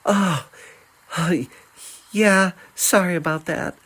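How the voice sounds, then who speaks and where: Man voicing a timid young woman, pitched high. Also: steady tone, slow, full character voice. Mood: flustered. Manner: soft, hesitant, wondering. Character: timid, sheltered young woman, awestruck and tender. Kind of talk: dramatic fantasy audio story.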